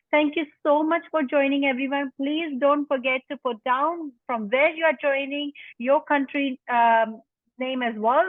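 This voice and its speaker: Woman host, high voice, emphatic, tinny dry video-call sound. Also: no echo. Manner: enthusiastic, emphatic. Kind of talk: moderating an online panel. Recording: tinny dry phone-like line.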